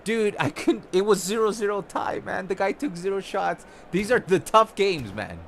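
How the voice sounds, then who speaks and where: A young man from Costa Rica with a slightly high voice, talking casually through a live football video game match. He explains moves to his viewers in an easygoing, friendly way. A faint room hum sits under the recording. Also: animated, normal speed, vocal fry, a bit bright. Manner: casual and chatty, coaching the viewers. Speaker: gaming streamer. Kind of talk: live gameplay commentary. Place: home-style room, not his own home.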